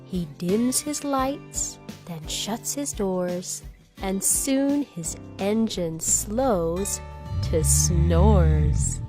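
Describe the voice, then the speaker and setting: A woman reading a children's bedtime story aloud, expressively, with gentle, drawn-out phrasing and acted emphasis. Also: medium pitch, animated, music in the background. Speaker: storybook reader.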